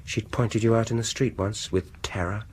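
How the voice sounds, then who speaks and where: An actor playing a sinister, vengeful male dentist in a radio horror drama, gloating and deliberate, lingering on words and dropping into whispers. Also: on the low side, fairly even intonation, darkish tone, slight background noise, close to an everyday voice. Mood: gloating menace.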